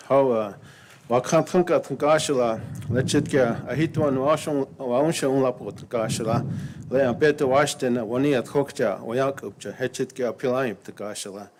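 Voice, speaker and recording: Pria yang berbicara ragu dengan suara bising, diikuti doa berirama. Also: male voice, mid-range voice, noisy background. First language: Lakota. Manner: hesitant, many fillers, then steady chanting prayer. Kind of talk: halting ceremonial remarks and prayer.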